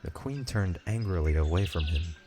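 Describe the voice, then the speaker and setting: A man's voice: low-pitched, animated, normal speed, loud music behind the voice. A man narrating a scripted audiobook story.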